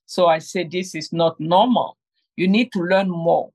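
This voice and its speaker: A woman's voice: on the low side, a little slow, a little mellow. A middle-aged woman from Cameroon, telling her story as an interview guest with many fillers and restarts. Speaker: guest, game inventor. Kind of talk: conversational interview.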